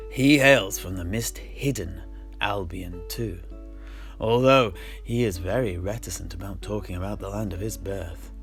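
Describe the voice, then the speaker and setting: A man playing a chatty fantasy tavern keeper, polite and wordy, with old-fashioned gentlemanly phrasing. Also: sits low, creaky. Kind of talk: in-character fantasy tavern conversation.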